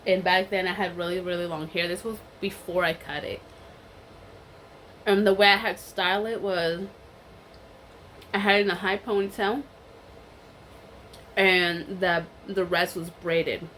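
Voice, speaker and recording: A woman's voice: lowish voice, flat delivery, unhurried, pauses often, fairly bright tone, a little hiss or hum. A young woman with a cold and a stuffy voice, telling a rambling story in a casual chat while she eats. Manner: chatty and rambling, many fillers and restarts. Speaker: vlogger.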